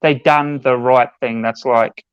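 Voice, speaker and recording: A man with a middle-pitched voice chats casually as a podcast panelist. His recording is dry, with a slightly lo-fi sound. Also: fairly even intonation, a little slow, clean tone, dry recording. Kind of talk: casual chat.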